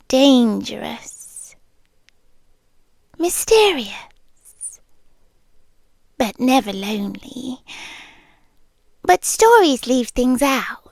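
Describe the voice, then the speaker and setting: High, whispery British monster girl, acted by a woman. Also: no echo, full character voice. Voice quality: breathy, often dropping into whisper. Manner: hushed, deliberate, wistful storytelling with pauses. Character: ancient, lonely monster girl, tenderly obsessive yandere lover. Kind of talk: slow intimate ASMR roleplay. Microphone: close, dry microphone.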